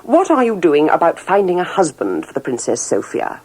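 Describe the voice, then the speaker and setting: A woman's voice: on the low side, huge pitch swings, fairly quick, a little mellow, low-quality recording. A woman playing an impatient, fretful king and worried father in a children's story, brusque, with an English RP accent. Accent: English Received Pronunciation.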